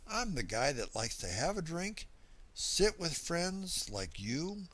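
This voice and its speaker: A man playing a small, cheerful middle-aged drifter who likes his drink, rambling in a folksy, confiding first-person monologue with dramatic pauses. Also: a little high, sing-song, a bit bright, exaggerated voice. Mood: rambling, amiable. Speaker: story reader.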